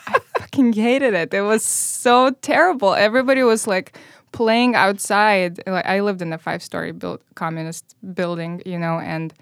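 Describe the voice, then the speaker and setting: A woman in her thirties whose first language is Russian, a violinist guest talking casually, animated, laughing often and dropping into whispered asides. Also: mid-range voice, normal speed, smooth, dry recording, clean studio sound. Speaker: guest musician. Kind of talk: casual podcast chat. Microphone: headphones and studio mic.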